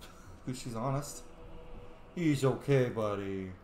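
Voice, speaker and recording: A man's voice: medium pitch, slow pace, darkish tone. A man hosting a roleplay let's play, chatting casually and improvising replies to game characters. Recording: roomy echo, faint background noise.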